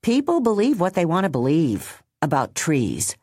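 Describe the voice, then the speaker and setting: A laid-back policewoman speaks in a wry, easy manner, as a woman narrator plays her. The voice is low and husky, with a lively lilt and a close, dry sound. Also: moderate pace, dry recording, exaggerated voice. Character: easygoing female police officer.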